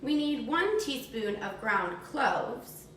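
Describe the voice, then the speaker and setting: Woman, children's cooking instructor: slow, simple, encouraging delivery, recorded at a distance with faint background noise. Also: on the high side, big pitch swings, fairly bright tone. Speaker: teacher demonstrating a recipe for children. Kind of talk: step-by-step cooking demonstration for young children. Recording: distant mic, echoey room, faint noise.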